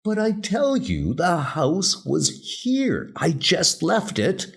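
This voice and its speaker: A man's voice: fairly high pitch, huge pitch swings, takes it slowly, vocal fry, voice pushed up, exaggerated voice. A man playing a desperate, stammering escaped crook in a horror comic dub. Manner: stammering, exclamatory. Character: panicky escaped male convict, desperate small-time crook.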